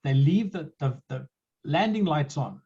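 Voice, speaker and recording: A man's voice: fairly high pitch, expressive, unhurried, dark tone, breathy edge, highs cut off. A middle-aged South African man hosting a conversational interview, telling stories with frequent restarts. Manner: chatty, storytelling, frequent restarts. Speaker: podcast host.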